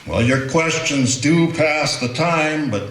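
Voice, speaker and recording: A man voicing a strange, calm prisoner who speaks in cryptic, preachy riddles in a radio play. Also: on the high side, slow pace, reverberant, slight background noise, low-quality recording.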